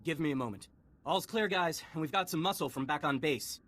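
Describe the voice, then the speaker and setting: An actor playing a harried army lieutenant in the field in a video game, brisk and urgent. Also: male voice, fairly high pitch, a bit bright. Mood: urgent, relieved. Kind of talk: video game dialogue acting.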